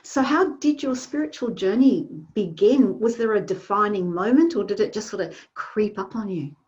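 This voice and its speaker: A woman's voice: medium pitch, normal speed, darkish tone, lo-fi sound. A woman interviewing a guest in a casual chat, asking her questions in a playful, teasing way.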